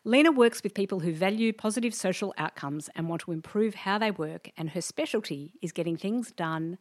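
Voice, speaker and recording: A woman's voice: medium pitch, brisk, no echo, studio quality. A woman with an Australian accent hosting a podcast, chatty and upbeat as she introduces her guest. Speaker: podcast host. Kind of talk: podcast interview.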